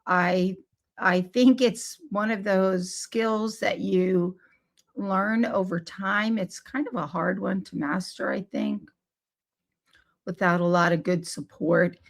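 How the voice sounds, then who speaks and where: A woman hosting a live podcast on play therapy, hoarse from laryngitis, with a scratchy, squeaky voice and an unhurried, friendly delivery with small self-corrections. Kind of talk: live-streamed podcast talk.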